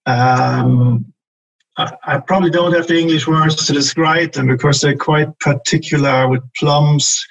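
Older-ish guy on a podcast, raspy creaky voice, chatting casually, hunting for words. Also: fairly high pitch, fairly even intonation, measured pace, low-quality recording. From northern Germany. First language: German. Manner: hesitant, often searching for words. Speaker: podcast guest, business development manager. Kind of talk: casual interview chat.

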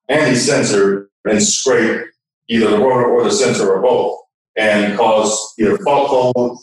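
A man giving an informal technical explanation as a product expert, halting as he searches for words. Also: fairly low pitch. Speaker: product expert guest demonstrating. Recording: echoey room, distant from mic.

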